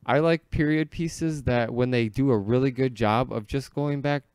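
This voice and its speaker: A man's voice: medium pitch, normal speed, darkish tone, smooth, dry recording, clean studio sound. An American man telling stories in casual, comedic podcast chat, joking and peppering his talk with "like".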